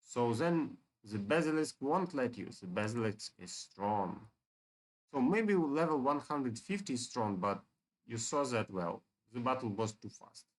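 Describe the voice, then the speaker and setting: A man giving casual walkthrough commentary on a game, halting a little as he thinks aloud. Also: mid-range voice, expressive. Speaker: gamer presenting a game walkthrough.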